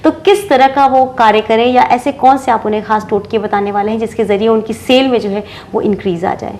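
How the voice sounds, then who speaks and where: Higher-pitched woman hosting. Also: fairly quick, darkish tone, slight background noise. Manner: long-winded questions, frequent affirming interjections. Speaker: programme host interviewing an astrologer. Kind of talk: TV interview questions.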